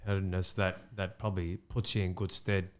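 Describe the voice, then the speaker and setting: A man's voice: low voice, little pitch movement, moderate pace. A man hosting a podcast interview, reflective and supportive, with frequent agreeing murmurs. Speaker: podcast host.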